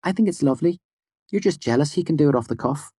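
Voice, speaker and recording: A man's voice: on the high side, fast talker, slightly rough, no room sound, clean studio sound, voice pushed up. A man voicing a bold, playful young woman who teases her friends. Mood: teasing. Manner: lively, teasing.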